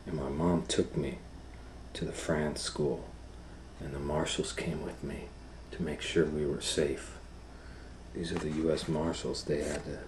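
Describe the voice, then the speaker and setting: A man reading a children's book aloud as a storytime educator, in simple, deliberate phrases with explanatory asides. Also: very deep voice, noisy background.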